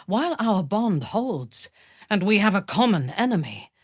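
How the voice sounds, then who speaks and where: A woman playing a proud, ruthless female dark elf warrior in a video game, speaking in a cold, commanding, formally measured manner. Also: medium pitch, very animated, breathy edge, no room sound, studio quality. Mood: proud, firm. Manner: imperious, measured, formal phrasing.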